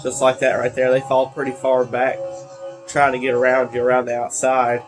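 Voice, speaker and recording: A man's voice: mid-range voice, completely flat delivery, moderate pace, music in the background. A teenage man, congested from allergies, rambling with many fillers while commenting on a racing game. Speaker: gaming video creator.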